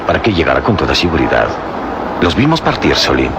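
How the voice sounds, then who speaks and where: Commanding mystic hero, extremely deep voice, lively intonation, assured, noisy vintage radio recording. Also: male voice, full character voice. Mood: calm. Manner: measured, assured, soft laughs. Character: calm, commanding male hero, mystic adventurer. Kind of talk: vintage radio drama acting.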